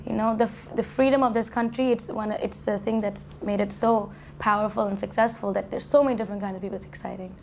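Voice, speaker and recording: A woman's voice: medium pitch, steady tone, fast, gravelly, few pauses, a little mellow, slight background noise. A young woman who grew up in Saudi Arabia, answering interview questions in a chatty way, full of restarts and "like" fillers, in a university dorm. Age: young adult. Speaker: college student guest.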